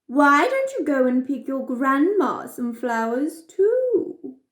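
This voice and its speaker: A woman's voice: sits high, sing-song, slow, roomy sound, exaggerated voice. A woman voicing a sly male big bad wolf in a bedtime story, coaxing and growling with false sweetness.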